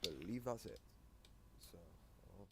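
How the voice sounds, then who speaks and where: A man giving casual reaction commentary, explaining his reading in a measured way. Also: low-pitched, darkish tone. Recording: clearly noisy background on voice recording.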